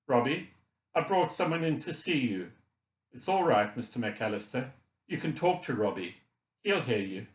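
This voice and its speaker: A man's voice: mid-range voice, lively intonation, moderate pace, roomy sound, natural voice. A man voicing a worried, gracious mother in an audiobook, speaking in an earnest and reassuring manner. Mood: gentle. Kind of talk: audiobook character dialogue.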